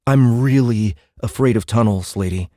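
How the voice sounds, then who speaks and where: A man's voice: mid-range voice, moderate pace, warm tone, no room sound, clean studio sound, close to an everyday voice. An actor playing a sarcastic, indignant American teenage boy in an audiobook drama, talking in exasperated slang. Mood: uneasy.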